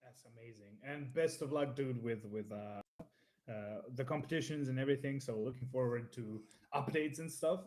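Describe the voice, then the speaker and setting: A man's voice: medium pitch, normal speed, a little mellow, slight background noise. A young man from Croatia chats in English, a native Croatian speaker with a voice in the middle range. His talk is laid-back and loose. He repeats words as he settles into a thought. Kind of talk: casual live-stream chat.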